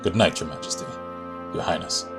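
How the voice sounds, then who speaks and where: A man's voice: low voice, fairly quick, music in the background, lightly acted. A man playing a stern, dutiful commander of a king's guard in an audio drama, grave and formal.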